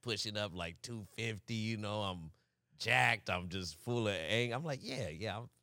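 A young man from Fresno co-hosting a podcast, telling animated stories and breaking into laughs and shouts at the punchlines. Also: on the low side, unhurried, dry recording, studio quality. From Fresno, California. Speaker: podcast co-host. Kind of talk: casual storytelling chat.

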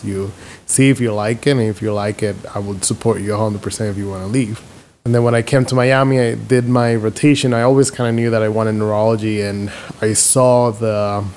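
A middle-aged man from the Dominican Republic, a guest physician answering interview questions in a relaxed, thoughtful way with many pauses. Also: lowish voice, slight background noise. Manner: relaxed, thoughtful, with frequent um fillers.

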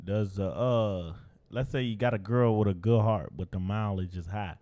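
A man's voice: fairly low pitch, normal speed, mellow, no echo. A young man talking casually with friends in loose, slangy banter, throwing questions to the group.

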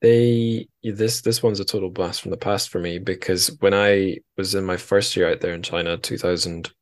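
A man's voice: sits low, steady tone, normal speed, dry recording, studio quality. A man hosting a podcast in casual conversation, informal and a little self-deprecating. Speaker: podcast host.

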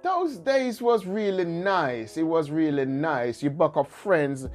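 Jamaican man telling stories, relaxed. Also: fairly high pitch, takes it slowly, soft background music. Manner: relaxed storytelling, repeats phrases. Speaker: guest. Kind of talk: casual chat.

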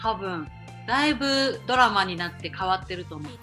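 A woman's voice: mid-range voice, lively intonation, brisk, pushed and bright, soft background music. A woman co-hosting a podcast in casual, conversational chat. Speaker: podcast co-host; writer.

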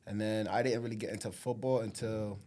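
A young man speaks as a podcast guest in a fairly low voice. He tells his stories in a relaxed, slangy manner, often pausing and restarting as he goes. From born in Africa, raised in Connecticut. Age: young adult. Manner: laid-back, halting, slangy storytelling. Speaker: guest, college football player. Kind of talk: casual chat.

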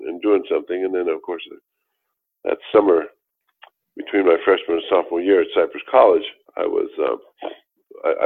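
Deep-voiced older man telling his story. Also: husky. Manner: rambling, with many restarts and fillers. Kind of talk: casual storytelling interview. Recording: tinny phone-like line.

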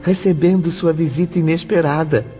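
A man narrating a scripted radio drama in a measured, serene storytelling manner. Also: on the high side, fairly even intonation, mellow. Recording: dull recording with faint music.

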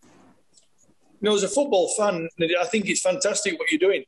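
A man's voice: high-pitched, moderate pace, slight background noise, low-quality recording. A man hosting a casual podcast chat, upbeat as he asks questions and praises his guests. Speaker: podcast host.

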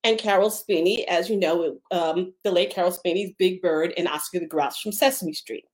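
A woman's voice: on the low side, moderate pace. A woman from Long Island, New York, a theater teacher, talking as a guest in a chatty way, laughing easily and saying um often. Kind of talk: casual interview talk.

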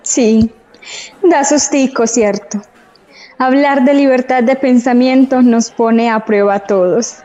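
An actress with a Colombian accent playing an earnest, encouraging suffragist in a radio drama, slightly dull recording. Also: female voice, a little high, fairly even intonation, takes it slowly, pauses often, dark tone. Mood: nervous excitement.

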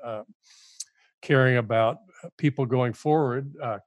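A man's voice: mid-range voice, unhurried, no room sound. An older man, a guest author on a podcast, making his case earnestly and often repeating words as he goes. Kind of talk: podcast interview.

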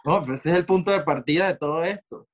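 A man's voice: a little high, fast talker. A Venezuelan man answering interview questions in a reflective, digressive way, full of fillers and asides. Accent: Venezuelan Spanish. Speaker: interview guest, writer. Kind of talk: conversational interview.

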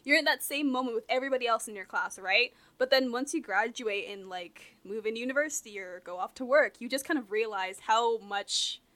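A woman's voice: on the high side, a little fast, few pauses, sharp tone. A woman in her early twenties, a university student, chatting reflectively with many fillers and frequent light laughs. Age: 20s. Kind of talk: casual chat.

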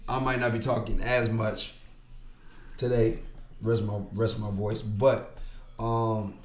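Hoarse podcast dude, kinda low voice, chill and slangy, roomy muffled recording. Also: male voice, flat delivery, takes it slowly. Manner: laid-back, slangy, repeats phrases. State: hoarse, losing his voice. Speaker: podcast co-host. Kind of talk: casual podcast chat. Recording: roomy, dull lo-fi sound.

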